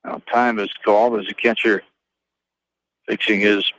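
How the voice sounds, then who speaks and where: An American man calling a baseball game live on the radio as play-by-play announcer, in terse, clipped phrases with pauses. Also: lowish voice, flat delivery, a bit bright, dry recording, low-quality recording.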